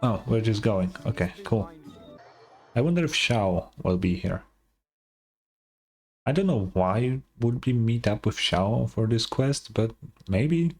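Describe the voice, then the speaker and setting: A streamer giving casual game commentary, rambling and thinking out loud. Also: mid-range voice, animated, takes it slowly, long pauses. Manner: rambling, musing aloud. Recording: game music behind the commentary.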